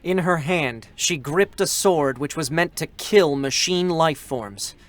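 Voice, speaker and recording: A man's voice: on the high side, animated, slow pace. An actor playing a youthful, earnest male scout android in a dramatic reading of a game character. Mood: solemn. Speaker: character narrator. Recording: music behind the voice. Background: music.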